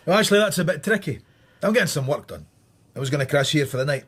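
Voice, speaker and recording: An actor playing a sardonic Scottish police inspector in a radio drama, with a dry, needling manner. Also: male voice, fairly high pitch, animated, quick pace, a bit bright, husky. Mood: guarded, dry.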